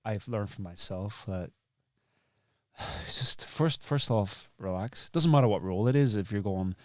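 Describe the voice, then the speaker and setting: A man's voice: lowish voice, animated, unhurried, no echo, studio quality. A man hosting an interview in reflective conversation, rephrasing and repeating his points for emphasis. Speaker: podcast host and interviewer.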